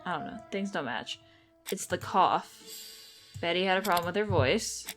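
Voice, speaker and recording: Low-pitched woman musing through a game. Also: lively intonation, normal speed, a bit bright, soft background music. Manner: musing aloud, questioning. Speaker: gamer doing a playthrough. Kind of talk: casual game commentary.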